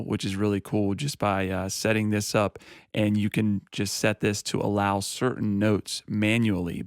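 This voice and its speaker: Deep-voiced man explaining software calmly. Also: dry recording, studio quality. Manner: relaxed, explanatory, uses fillers like "you know". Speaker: audio software demonstrator. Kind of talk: casual tutorial explanation.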